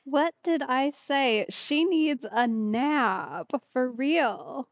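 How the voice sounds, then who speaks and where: A young woman streams a game with casual, chatty commentary. Her voice is fairly high and sounds close and dry, slightly dull on the recording, with playful asides. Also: expressive, extremely slow, no echo. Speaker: let's play streamer.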